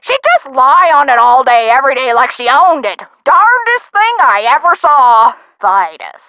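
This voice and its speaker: Bright, feisty, scatterbrained old lady. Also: high voice, very animated, dry recording, dull audio, heavily acted. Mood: reminiscing. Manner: chatty, forgetful, folksy exclamations, drawn-out words. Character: feisty, scatterbrained, card-playing elderly woman with old-time slang. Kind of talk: video game character acting.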